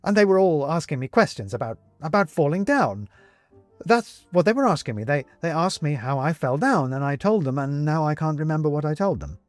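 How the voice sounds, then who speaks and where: A man voicing a confused, agitated gentleman who keeps losing his memory, stammering and growing frantic. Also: on the high side, animated, brisk, dry recording, soft background music, voice pushed up, close to an everyday voice. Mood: panicked. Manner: stammering, flustered, demanding. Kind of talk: audiobook character reading.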